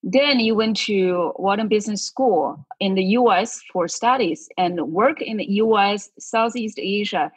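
A woman's voice: medium pitch, a little slow, hardly any pauses, breathy edge, rough recording. A woman hosting a podcast interview, asking direct questions. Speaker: podcast host.

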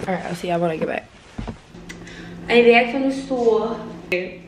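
A young woman talks casually into a phone held close, telling her viewers about her day. The recording carries a clear layer of noise, and her voice sits at an ordinary middle pitch. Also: moderate pace. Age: young adult. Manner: chatty, direct, addresses viewers as y'all. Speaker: vlogger. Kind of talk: casual vlog talk to camera. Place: apartment indoors. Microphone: phone camera held close.

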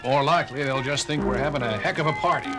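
A man's voice: medium pitch, fast talker, music in the background, close to an everyday voice. A man playing a sarcastic ship's doctor. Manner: sarcastic, exasperated. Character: gruff sarcastic male ship doctor. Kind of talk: 1970s audio drama.

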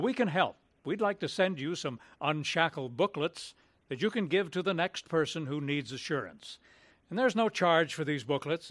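A man's voice: on the high side, fairly bright tone, no room sound. A man reading scripted narration and appeals as a radio announcer.

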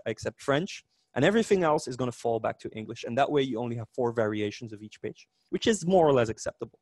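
A man in his forties with a Dutch accent, a native Dutch speaker, giving a technical meetup talk in a lively, joking way with frequent restarts. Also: medium pitch, no echo. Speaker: conference speaker. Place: meetup room.

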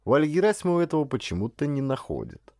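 A man reading a first-person literary story aloud as an audiobook narrator, in an expressive storytelling manner. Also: fairly high pitch, fast, no echo, clean studio sound.

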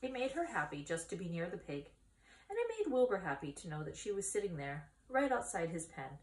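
A woman in her thirties reading a children's story aloud in a deliberate, expressive manner. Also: on the low side, roomy sound. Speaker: story reader. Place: small indoor room against a bare wall.

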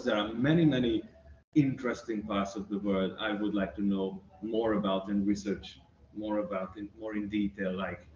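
A young Hungarian man with a Hungarian accent, a researcher answering interview questions thoughtfully, pausing often with fillers, recorded by a camera across an office room by a window. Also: on the low side, darkish tone. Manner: thoughtful, with many pauses and fillers. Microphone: far camera. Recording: roomy, distant, muffled, faint hiss.

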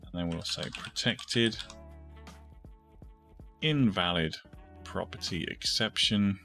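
A man's voice: deep voice, expressive, takes it slowly, bright, forceful tone, soft background music. A man hosting a step-by-step coding tutorial, informally thinking aloud as he goes. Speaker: tutorial host.

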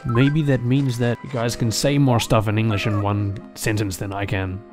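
A man's voice: lowish voice, flat delivery, normal speed. A man giving casual gameplay commentary as a video narrator, with a dryly joking manner. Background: game music behind the voice.

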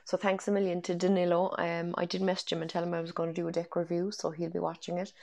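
A woman's voice: lowish voice, monotone, fast, creaky, darkish tone. Stuffy-nosed Irish woman, chatty vlog. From Ireland. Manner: chatty and enthusiastic, lots of ums. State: congested from a cold. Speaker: tarot deck reviewer. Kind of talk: casual vlog chat. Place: bedroom at home, indoors. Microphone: wired earphone mic.

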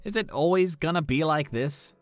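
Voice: mid-range voice, moderate pace, no echo, soft background music, natural voice. A performer playing an awkward, lovestruck young male cartoon pony, hesitant and earnest.

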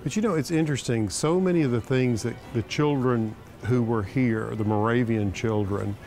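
A man's voice: medium pitch, normal speed, darkish tone. A middle-aged man hosting a garden show, chatting outdoors with an admiring, enthusiastic manner. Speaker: host. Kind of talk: friendly on-location interview. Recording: music bed underneath.

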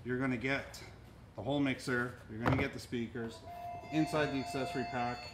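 A man's voice: mid-range voice, fairly even intonation, brisk, music in the background. A middle-aged man giving a casual, explanatory product demonstration in plain-spoken style, talking as he handles the gear. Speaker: store presenter demonstrating audio gear. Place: indoors in a cluttered audio equipment store. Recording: noisy room recording with clicks.

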